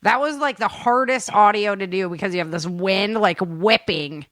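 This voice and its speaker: A woman chatting casually as a podcast host, telling things in an animated, conversational way with quoted asides. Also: mid-range voice, moderate pace, no room sound, clean studio sound. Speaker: audio drama host and editor.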